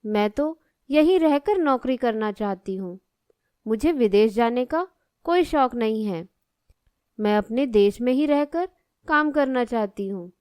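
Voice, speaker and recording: A woman acts as a dutiful young cartoon daughter with a high, smooth voice. She is polite, plain-spoken and level-headed, recorded dry and close. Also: a little mellow, dry recording. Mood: reluctant, questioning. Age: young adult. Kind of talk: Hindi animated moral story dialogue.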